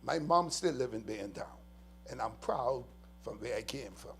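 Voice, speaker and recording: Bahamian male police official, mid-pitched gravelly voice, earnest and emphatic briefing, faint mains hum under it. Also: expressive, fairly bright tone. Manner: earnest, emphatic, walks through slides with pauses. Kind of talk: press briefing presentation. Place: press conference room.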